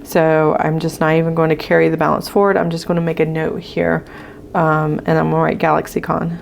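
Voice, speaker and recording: A woman with a hoarse voice, casually walking viewers through her budget sheets as a channel host, with frequent ums as she writes. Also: low voice, little pitch movement, fairly quick, a little hiss or hum. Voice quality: gravelly. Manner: chatty with frequent ums, talking while writing.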